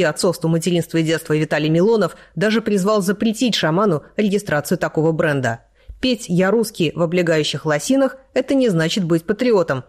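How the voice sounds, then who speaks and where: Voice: mid-range voice, darkish tone. A news narrator reading a scripted report in a matter-of-fact way.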